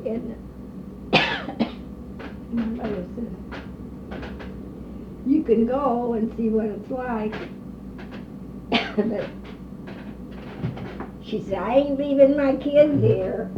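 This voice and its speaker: An older woman being interviewed, slowly reminiscing about her old neighborhood with pauses, hesitations and the odd cough. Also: fairly high pitch, big pitch swings. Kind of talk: oral history interview. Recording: distant roomy lo-fi recording with noise.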